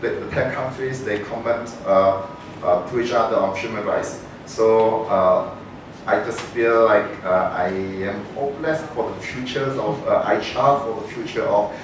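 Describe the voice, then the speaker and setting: Hesitant low-voiced man in an echoey hall. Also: fairly even intonation. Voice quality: halting, many fillers and restarts. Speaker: audience member asking a question. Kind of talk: hesitant question at a panel discussion. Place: large conference room. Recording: echoey hall from far off, noisy.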